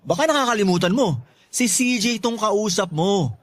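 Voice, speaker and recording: Young Filipino loafer suitor, high swooping voice, corny, teasing, wheedling, radio drama. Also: male voice, expressive, moderate pace, no echo. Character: cheeky, lovestruck young male neighborhood loafer, relentlessly teasing suitor. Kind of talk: Tagalog radio drama acting.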